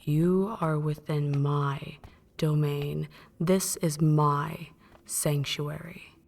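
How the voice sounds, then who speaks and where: A woman playing a possessive, menacing vampire mistress in an intimate roleplay, teasing and manipulative, often slipping into breathy whispers. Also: sits low, steady tone, drawn-out words, a little mellow, full character voice. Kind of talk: intimate ASMR roleplay monologue.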